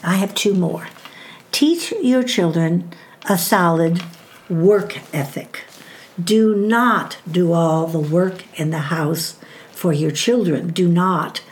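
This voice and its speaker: Measured older woman teaching scripture. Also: on the low side, a little hiss or hum. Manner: measured, emphatic, slowing on scripture references. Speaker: speaker teaching on parenting and faith. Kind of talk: devotional teaching talk.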